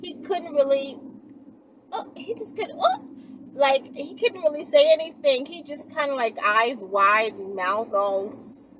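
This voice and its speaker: A woman vlogging in a casual, chatty storytelling style, blunt and slangy, with animated asides. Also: high voice, unhurried, a little hiss or hum, rough recording. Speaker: vlogger and channel host.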